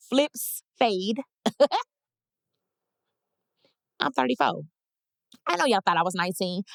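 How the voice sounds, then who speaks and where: A woman in her thirties from Dallas, hosting her own podcast in a playful, animated solo chat with her listeners. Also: mid-range voice, creaky, dry recording, clean studio sound. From Dallas, Texas. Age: 30s. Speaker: podcast host and comedian.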